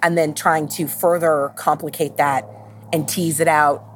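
A woman's voice: sits low, level tone, a little slow. Woman talking casually over background noise. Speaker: podcast host. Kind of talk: casual group discussion.

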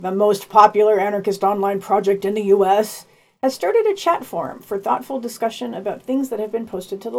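A woman's voice: on the high side, creaky, darkish tone. A woman hosting a podcast, reading a scripted news digest and dropping in casual asides.

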